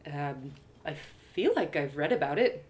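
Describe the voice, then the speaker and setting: A woman's voice: sits low, very animated, a little slow, a little mellow, pitched below the performer's own voice. A woman playing an earnest, curious young human girl adventurer, hesitant and questioning, in an audio drama. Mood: puzzled.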